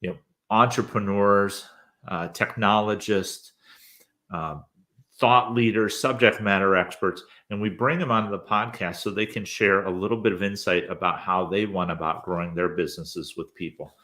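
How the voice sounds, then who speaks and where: A man's voice: deep voice, steady tone, normal speed, bright, forceful tone. A man in his fifties, a guest telling his career story in an easygoing, rambling way with lots of hesitant fillers. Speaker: podcast host, interview guest.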